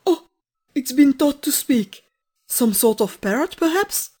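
A woman as a flustered, courteous English-storybook gentleman. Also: fairly low pitch, animated, moderate pace, natural voice. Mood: amazed. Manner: polite, astonished, fussy. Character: nice, flustered, courteous gentleman office official.

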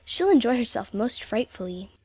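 A woman's voice: a little high, sing-song, normal speed, darkish tone, breathy edge, no room sound, heavily acted. A woman voicing a polite, dreamy, chatty little English girl with a British accent and a prim manner.

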